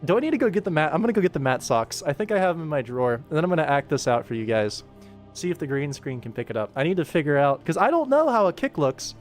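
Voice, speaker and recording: A man's voice: a little high, very animated, fast talker. A young adult man chatting casually on a livestream while he works, rambling along in a jokey, self-deprecating way. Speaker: animator streaming his work. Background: background music.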